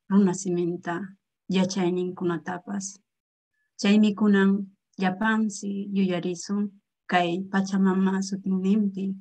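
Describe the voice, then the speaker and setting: Earnest woman, steady reading. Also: medium pitch, rough recording. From Peru. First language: Quechua. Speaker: language activist and teacher. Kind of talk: read land acknowledgement and personal testimony. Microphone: video call.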